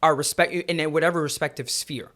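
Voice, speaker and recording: A man with a fairly high voice for a man co-hosts a podcast conversation. He explains his ideas earnestly and lays them out step by step. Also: steady tone, fairly quick, a bit bright. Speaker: podcast co-host.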